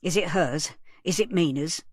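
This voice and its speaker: A woman's voice: deep voice, moderate pace, slightly rough, no echo, studio quality. A woman voicing a nervous male cafe owner under police questioning, speaking defensively with a tremor in his voice. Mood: guarded. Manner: defensive, voice trembling.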